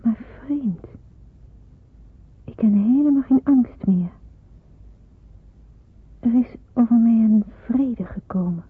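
Hushed young wartime woman, tinny recording. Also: a little high, slow, pauses often, slightly rough, heavily acted. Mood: serene, devout. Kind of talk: radio play acting with reflective inner narration. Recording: tinny, noisy old radio play recording.

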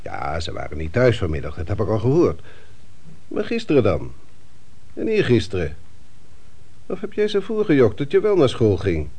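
An actor playing a fatherly but sceptical family doctor in a Dutch radio play, questioning deliberately with thoughtful hums. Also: lowish voice, wildly swooping pitch, mellow, breathy edge, heavily acted.

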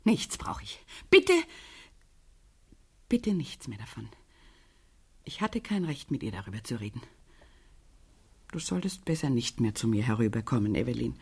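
An actress playing a troubled, guarded middle-aged widow in a radio crime drama, speaking in halting, dramatic phrases with a husky voice. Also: female voice, rumbling low, sing-song, a little mellow, exaggerated voice. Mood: pleading, resigned. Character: troubled, guarded widow confiding in a young neighbour girl.

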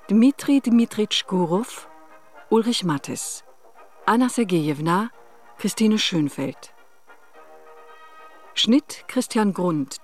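A woman reading radio drama credits as a formal announcer. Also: lowish voice.

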